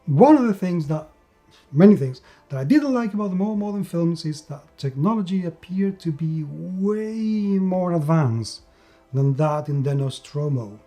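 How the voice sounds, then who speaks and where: A man's voice: on the high side, lively intonation, a little slow, mellow, soft background music. A man giving an enthusiastic, unscripted game review, emphatic and repeating words for stress.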